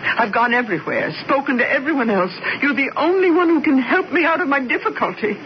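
An actress playing a wealthy, plump, fretful wife in a 1970s radio drama, with a fluttery, halting, repetitive delivery. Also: female voice, sits high, expressive. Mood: distraught, pleading. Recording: dull, noisy vintage radio broadcast.

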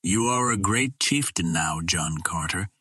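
A man's voice: low voice, animated, a little slow, sharp tone, husky, no room sound, full character voice. A man voicing a dutiful female Martian attendant in an audiobook, speaking formally and earnestly as she explains. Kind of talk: audiobook character reading.

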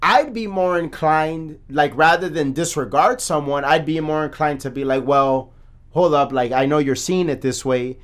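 A man co-hosting a podcast, talking in casual chat, animated and swearing, full of restarts. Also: on the high side, moderate pace. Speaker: podcast co-host.

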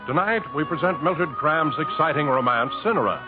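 A man's voice: a little high, expressive, moderate pace, over loud music. A man hosting in an old 1950s radio announcer style, giving an emphatic, polished dramatic introduction. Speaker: radio show host and announcer. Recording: narrow-band 1950s radio recording.

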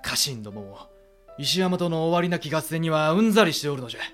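A lordly male warlord speaks with blunt authority in a period audio drama. His pitch sits a little high for a man, and his phrasing is archaic and imperious, with faint music behind. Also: lively intonation, fast talker, a bit bright. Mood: commanding, magnanimous.

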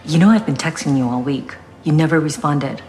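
A woman's voice: low-pitched, brisk, a little mellow, soft background music. A woman playing a hurt, exasperated young ex-girlfriend in a naturalistic audio drama, blunt and wary. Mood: guarded, irritated.

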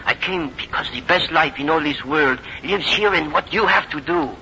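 A man's voice: on the high side, normal speed, sharp tone. An actor playing an earnest, idealistic young French nobleman officer, speaking with a French accent in impassioned oratory. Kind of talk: 1950s radio drama acting. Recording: dull 1950s radio recording, background noise.

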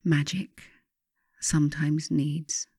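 A woman reading her own self-help writing as a meditative guide, in a measured, softly instructive way with deliberate pauses. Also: low voice, little pitch movement, husky, no echo, clean studio sound.

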